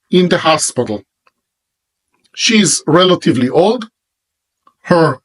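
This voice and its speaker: A man with an Israeli accent, a native Hebrew speaker, preaching about prayer in an emphatic monologue with pauses between phrases. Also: fairly high pitch, poor audio. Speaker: religious teacher. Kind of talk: monologue sermon.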